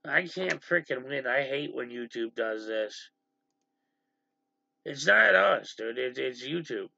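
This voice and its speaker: A man with a strongly gravelly, fried voice and a bright, forceful edge talks casually to his livestream audience, exasperated and animated. He sits close to a dry mic, and the stream audio sounds a little dull and lo-fi. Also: medium pitch, no echo. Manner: animated, exasperated, quick asides to the stream chat. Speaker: livestream host.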